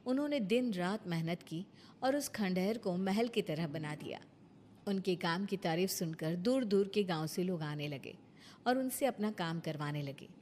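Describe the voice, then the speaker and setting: A woman's voice: lowish voice, expressive, normal speed, a little mellow, a little hiss or hum. A woman narrating a scripted bedtime moral story as its storyteller.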